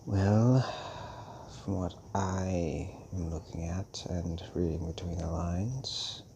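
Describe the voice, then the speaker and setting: A man playing a calm, fatherly old vampire mentor in an intimate audio roleplay, musing hesitantly with many pauses. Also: deep voice, gravelly, a little hiss or hum, exaggerated voice. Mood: pensive, grave.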